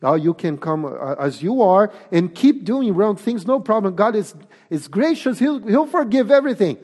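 A man with a Portuguese accent preaching a sermon, speaking deliberately and emphatically with pauses. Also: sits high, sing-song, a little mellow, husky. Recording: long reverb of a hall.

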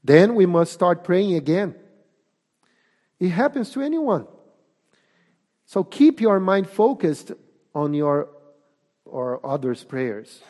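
A man with a Portuguese accent preaching a sermon, speaking deliberately and emphatically with pauses. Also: high-pitched, sing-song, a little mellow. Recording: long reverb of a hall.

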